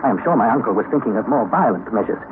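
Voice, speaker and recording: An actor plays a young explorer telling his story in earnest narration. His pitch moves a lot, rising and dropping with the tale. The old broadcast sounds thin and phone-like, with faint hiss underneath. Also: expressive, racing, lightly acted. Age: young adult.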